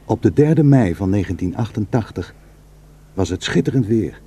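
An actor playing a simple Kurdish shepherd, narrating his memories reflectively with a husky voice in a radio drama. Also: on the low side, lively intonation, normal speed. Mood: nostalgic, reflective. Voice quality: husky, dark.

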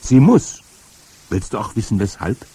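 Storybook: a man voicing an old grandmother, solemn and weighty, lively lilt, husky. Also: fairly low pitch, a little slow, heavily acted. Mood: grave. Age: older. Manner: stern, solemn warnings. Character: very old, stout, wise grandmother.

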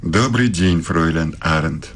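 An actor playing a suave middle-aged philosophy professor in a radio play, speaking in a measured, formal, probing way. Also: male voice, deep voice.

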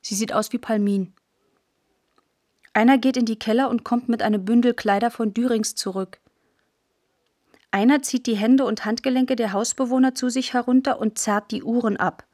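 A woman's voice: fairly high pitch, a little mellow. A woman narrating a first-person literary account in a radio feature, telling it in the present tense.

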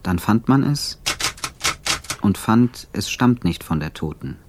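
A man's voice: on the low side, animated, slow, background noise. A man playing a detached forensic reciter in a radio drama, speaking in terse, staccato fragments over typewriter-like clicking. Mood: detached, clinical. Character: clipped, detached male reciter of forensic evidence.

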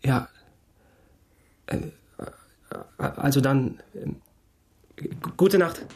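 An awkward young fellow with a mid-pitched voice. He is naive and evasive, hesitating sheepishly as he speaks, in the style of a radio drama. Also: very slow, vocal fry, darkish tone, a little hiss or hum. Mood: flustered. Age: young adult. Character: awkward, sheepish twenty-year-old lad, naive and evasive. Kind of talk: German radio drama acting.

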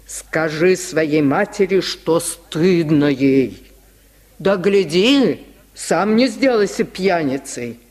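A man's voice: on the high side, animated, takes it slowly, a little mellow. A man voicing a stern, condescending town mayor with a preachy, disapproving manner, in an old radio story recording. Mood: scolding, pitying. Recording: 1950s radio recording, long reverb.

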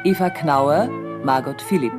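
Low-voiced woman announcer over music. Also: measured pace. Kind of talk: formal scripted radio credits. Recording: music behind the voice.

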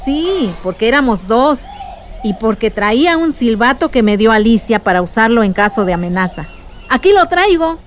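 Una actriz de radionovela que interpreta a una tía resuelta que cuenta y explica sus vivencias a su sobrina. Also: female voice, fairly high pitch, big pitch swings, normal speed, slight background noise. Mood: lively, assured. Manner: storytelling, explanatory. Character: aunt recounting past dangers to her niece, warm, resolute. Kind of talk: radio drama acting.

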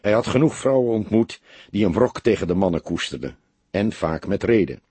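A man's voice: lowish voice, expressive, normal speed, dark tone, breathy edge, no echo. A man reading a detective novel as an audiobook, in dramatic scripted narration.

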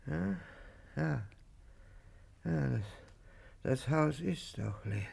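An ailing, confused estate owner in an old radio drama. His voice is very creaky and slow, breaking off and repeating words as he mutters along. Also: on the high side, animated, darkish tone, full character voice. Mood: faltering, weary. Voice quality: gravelly, creaky. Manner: halting, muttering, repeating himself. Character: sick, confused male owner of a country estate. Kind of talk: 1950s German radio play acting. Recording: 1950s radio drama recording.

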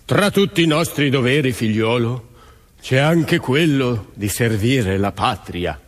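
A village priest in a theatre piece, fatherly yet dismissive and devoted to authority. His delivery swings in pitch and rings through a long hall reverb. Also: expressive, a little slow. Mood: solemn, paternal. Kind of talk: theatrical stage storytelling.

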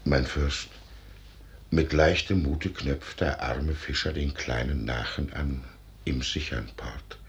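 A man's voice: rumbling low, takes it slowly, full character voice. An older man playing a cautious, loyal fortress commander in classical radio drama, sober and deferential. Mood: gently cautioning.

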